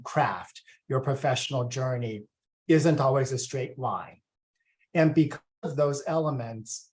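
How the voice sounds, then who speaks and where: A man in his forties from Taiwan, a university student affairs vice president, talking to families in a relaxed way with many ums and uhs. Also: medium pitch. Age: 40s. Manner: conversational with frequent ums and uhs. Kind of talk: presenting to families at a welcome session.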